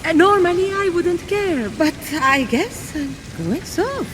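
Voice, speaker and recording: An actress voicing a vain, flirty and grateful goddess of love in a video game cutscene, theatrical and teasing. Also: female voice, extremely high pitch, lively intonation, slow, in a large hall, noisy background, full character voice. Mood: confident, flirty.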